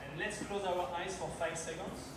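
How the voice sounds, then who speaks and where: A man in his thirties from France gives a timed talk in English, his second language, telling his own story with slightly non-native phrasing. His voice is a bit high for a man. He is picked up from a distance in a very echoey event hall with clear noise in the background. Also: steady tone, moderate pace. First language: French. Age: 30s. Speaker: conference speaker. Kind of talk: timed slide presentation. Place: event hall at a launch party.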